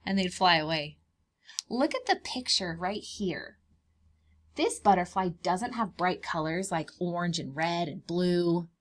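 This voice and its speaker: A woman's voice: lowish voice, unhurried. A woman hosting a read-aloud lesson for preschoolers, explaining things simply and expressively and putting questions to her young listeners. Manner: expressive, emphasizing key words for small children. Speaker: children's read-aloud host and preschool teacher.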